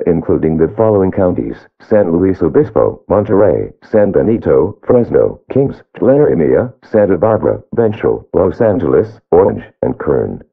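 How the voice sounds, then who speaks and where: Deep, husky synthetic man on tinny radio. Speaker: emergency alert announcer. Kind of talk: scripted emergency announcement. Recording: phone-like narrow-band radio sound.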